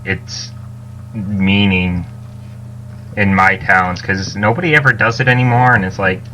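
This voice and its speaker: A man with a bright, forward voice at a slightly low pitch chats casually on a podcast. He rewords things as he goes, and there is faint noise behind him. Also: fairly even intonation, a little slow, rough recording.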